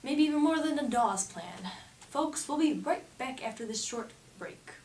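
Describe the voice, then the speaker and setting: A woman's voice: high-pitched, expressive, unhurried, a little mellow. A woman playing a flighty, flirtatious, slangy 1920s news anchor in an amateur mock newscast. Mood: mock serious. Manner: theatrical, slangy. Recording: echoey room, distant mic, faint noise.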